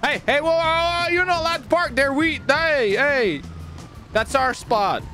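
Male gamer, very animated and high-pitched, theatrical roleplay commentary over music. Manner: theatrical, playful, repeats phrases rapidly. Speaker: streamer playing a clone gang leader. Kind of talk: excited game roleplay commentary.

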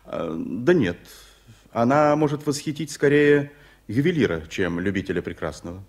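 An actor playing a tycoon's efficient, discreet secretary with a formal, measured manner in an old radio play. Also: male voice, mid-range voice, animated, darkish tone. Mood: detached, explanatory. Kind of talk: 1980s Soviet radio theatre acting.

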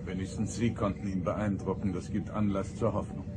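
An actor playing a stern, principled starship captain in a radio drama, speaking in a firm, formal, authoritative way. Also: male voice, deep voice, little pitch movement, normal speed, loud music behind the voice, lightly acted. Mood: composed.

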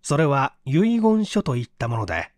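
Expressive male story narrator, dry close sound. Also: medium pitch, moderate pace, no room sound. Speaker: narrator, audiobook reader.

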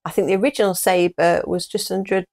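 British woman with a creaky, lowish voice. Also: steady tone, a little fast, darkish tone. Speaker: co-host of a hi-fi news show. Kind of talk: casual chat. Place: small furnished room indoors.

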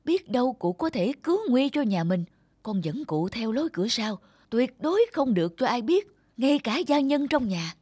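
A stout, middle-aged landlord's wife from a cartoon. She is shrewd and cautious, giving firm counsel with very lively intonation. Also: a little high, moderate pace, slightly rough, dry recording. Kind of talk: cartoon dialogue.

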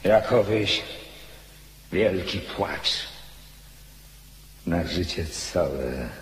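A man's voice: low-pitched, expressive, exaggerated voice. An actor playing the proud, defiant ghost of a rebel nobleman in a declamatory radio drama style. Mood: anguished, subdued. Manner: declamatory, slow, impassioned. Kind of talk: theatrical radio drama acting. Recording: echoey hall with long reverb.

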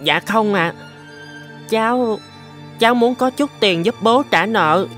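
An actor performs a little boy from a cartoon tale. He sounds earnest, polite and a bit hesitant. Soft music plays underneath. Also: medium pitch, slow pace, long reverb tail. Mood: polite, timid. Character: sad, polite, devoted little boy violinist. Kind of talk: cartoon voice acting.

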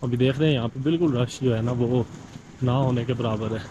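A man's voice: medium pitch, brisk, lo-fi sound. A man with a Pakistani Urdu accent, chatting casually as a travel vlogger walking a snowy street and laughing at his own remarks. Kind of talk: casual walking vlog commentary. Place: outdoors on a snowy busy street. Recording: crowded outdoor street noise. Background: crowd chatter.

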